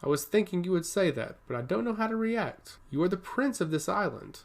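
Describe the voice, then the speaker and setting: Man playing a solemn female ship captain, higher-pitched. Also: very animated, a little fast, vocal fry, heavily acted. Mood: puzzled. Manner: solemn, peaceful, resolute.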